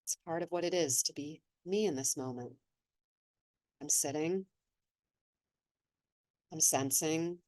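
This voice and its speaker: A woman slowly and gently leading a guided meditation, speaking in a soothing way. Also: sits low, no echo. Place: seated in an office chair.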